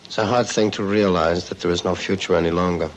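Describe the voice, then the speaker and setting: A man's voice: sits low, steady tone, fast talker. A middle-aged man, a bereaved father, speaking in a radio interview. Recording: noisy 1950s radio archive interview.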